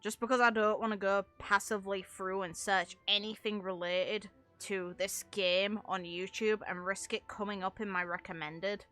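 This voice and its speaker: A woman's voice: mid-range voice, steady tone, normal speed, few pauses, sharp tone, faint music behind. A woman game streamer giving casual, rambling livestream commentary, explaining things at length with casual swearing.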